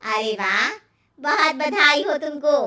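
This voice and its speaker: A woman's voice: high voice, slow, sharp tone, heavily acted. A woman voicing a nosy, superstitious middle-aged neighbour with an emphatic, warning manner in a cartoon moral story. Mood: welcoming, probing.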